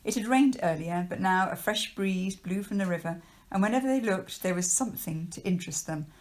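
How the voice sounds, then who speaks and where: A woman's voice: medium pitch, brisk. A woman, a teacher, reading a children's novel aloud as narrator. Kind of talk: storybook narration read aloud.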